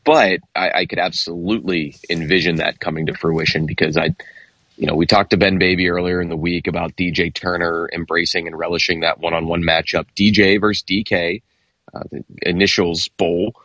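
Low husky guy talking football on a sports show, measured, kinda thinks out loud, dry sound. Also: level tone, dry recording, low-quality recording. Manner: measured, analytical, thinks aloud. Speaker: football analyst. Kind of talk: sports talk show discussion.

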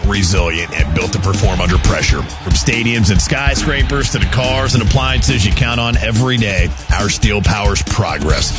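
An American man narrating a proud, scripted commercial as announcer. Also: lowish voice. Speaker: advertisement announcer.

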